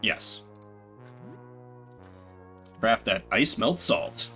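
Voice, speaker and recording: A man's voice: on the low side, expressive, very slow, bright, forceful tone, soft background music. A man playing a video game as a streamer, mixing casual commentary with theatrical readings of the on-screen text.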